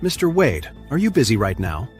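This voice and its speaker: A man's voice: medium pitch, very animated, a little fast, darkish tone, soft background music, lightly acted. A man voicing a polite, respectful young woman from a rich family in an audiobook. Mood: respectful, hopeful. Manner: respectful, courteous.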